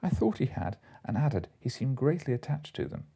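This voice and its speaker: A man's voice: medium pitch, big pitch swings, normal speed, gravelly, mellow, slightly rough. A man narrating classic comic fiction as an audiobook reader, from a script.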